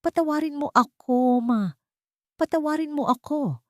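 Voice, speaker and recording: A woman's voice: sits high, measured pace, a little mellow, dry recording, studio quality, voice pushed up. Woman voicing a heartbroken young son. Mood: tearful. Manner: pleading, tearful.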